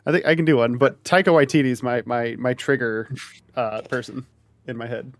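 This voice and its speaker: A man's voice: medium pitch, fairly even intonation, moderate pace. A man streaming a game, chatting casually with friends, joking and laughing often. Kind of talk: casual gaming chat.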